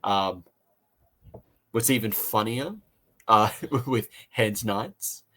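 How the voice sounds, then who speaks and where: A man's voice: on the low side, extremely slow, a bit bright. A man with an Australian accent hosts a podcast review in a casual, chatty way with a jokey, teasing streak.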